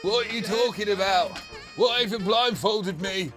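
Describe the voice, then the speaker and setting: Man acting a high-pitched cantankerous old man. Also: moderate pace, music in the background, lightly acted. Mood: indignant. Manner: ranting, grumbling. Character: cantankerous old man swinging his stick at intruders. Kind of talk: comedy audio sketch acting.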